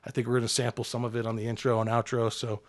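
A man's voice: mid-range voice, level tone, rapid-fire, crowd noise. A man co-hosting a podcast, talking in a loose, teasing, rambling way with lots of fillers. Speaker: podcast co-host. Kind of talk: casual crude chat.

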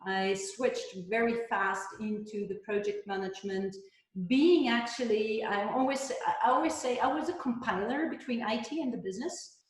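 A woman's voice: fairly high pitch, moderate pace. A middle-aged woman with a Hebrew mother tongue talks as an interview guest. She stops to correct herself now and then and adds dry little jokes. Her voice rings in a strongly echoing room. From Switzerland. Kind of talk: casual interview talk. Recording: very echoey room.

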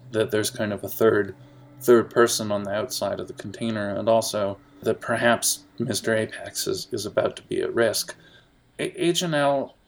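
A young man running a tabletop game as game master, narrating in a relaxed, halting way with many fillers. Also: fairly low pitch, creaky.

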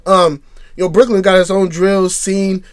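Male podcast co-host with a strained, fading voice; relaxed street talk, pitched a bit high. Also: measured pace. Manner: laid-back, talks in street slang. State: losing his voice. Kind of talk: casual chat.

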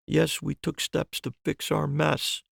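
A childlike, wonderstruck man acted by a male narrator speaks softly in simple, repeated phrases. His earnest voice lifts higher, in storybook dialogue with a dry sound. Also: monotone, slow pace, gravelly, dry recording, full character voice. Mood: earnest wonder. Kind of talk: audiobook dialogue.

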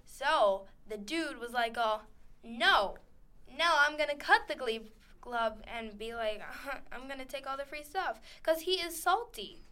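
A woman's voice: high-pitched, animated, unhurried, sharp tone, smooth. A teenage girl co-hosting a casual radio chat, rambling, giggling and acting out characters as she recaps scenes. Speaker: co-host. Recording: radio studio.

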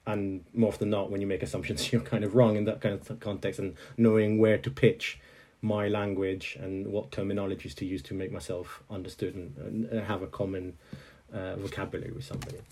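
A man's voice: low voice, little pitch movement, a little fast, a little mellow. A man from Italy, speaking as an interview guest in a thoughtful way, often restarting his sentences. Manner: thoughtful, full of restarts and fillers. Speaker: guest, software engineer. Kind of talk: interview conversation.

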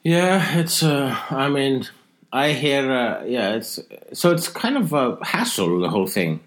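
A man, joking deadpan in a casual chat as co-host. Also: mid-range voice, a little slow. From Iceland. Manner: deadpan joking, mock-serious. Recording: roomy echo.

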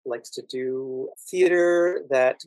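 An American man with a calm, quiet, measured way of talking, speaking conversationally as a podcast guest. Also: medium pitch, no room sound, rough recording. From Washington DC, United States. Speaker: podcast guest, actor and standardized patient. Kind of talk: conversational storytelling interview.

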